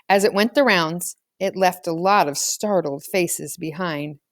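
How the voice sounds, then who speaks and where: A woman's voice: lowish voice, a little slow, no room sound, clean studio sound. A woman reading a children's novel aloud as scripted storybook narration. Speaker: audiobook reader.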